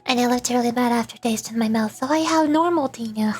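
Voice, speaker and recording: A woman's voice: fairly high pitch, fast talker, darkish tone, faint music behind. A woman livestreaming a game, chatting casually in a rambling way and stumbling as she reads dialogue aloud. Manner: rambling, stumbles over words while reading. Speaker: streamer, VTuber.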